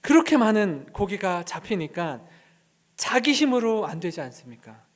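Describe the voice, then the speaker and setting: Animated high-voiced male preacher. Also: moderate pace. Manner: animated storytelling, asks the congregation questions; acts out scripture scenes with voices. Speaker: pastor. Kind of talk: sermon.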